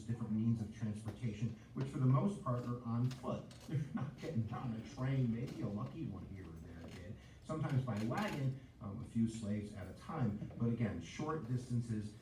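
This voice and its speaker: A man's voice: on the low side, warm tone. A man explaining history in a casual, upbeat lecture, with joking asides to viewers. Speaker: history video narrator. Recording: roomy, distant, faint noise.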